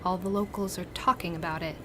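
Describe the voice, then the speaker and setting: An actress playing a concerned, practical student friend in a radio play, earnest and reassuring. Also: female voice, fairly low pitch, fairly even intonation, fast, noisy background, lightly acted. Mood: worried. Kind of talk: dramatic radio play acting.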